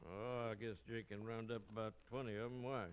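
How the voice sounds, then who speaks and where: A man's voice: fairly low pitch, flat delivery, fairly quick, close to an everyday voice. An actor playing a crooked gambling hall owner and grudging henchman in an old radio western. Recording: noisy vintage radio recording.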